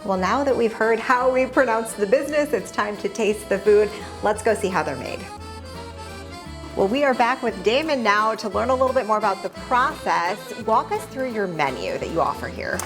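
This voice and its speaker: A woman's voice: mid-range voice, lively intonation, normal speed, over music. A woman asking friendly questions as the interviewer on a local television show.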